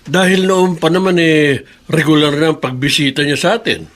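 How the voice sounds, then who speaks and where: A man voices an anxious older father in a radio drama. He frets and worries aloud, his voice pitched a little high. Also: steady tone, moderate pace, fairly bright tone, close to an everyday voice. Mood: worried. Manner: fretful, anxious.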